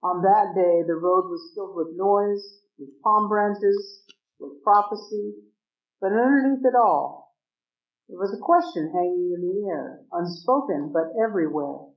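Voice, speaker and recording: A man giving scripted, reverent dramatic narration at a measured pace. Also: high voice. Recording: roomy, distant, dull sound.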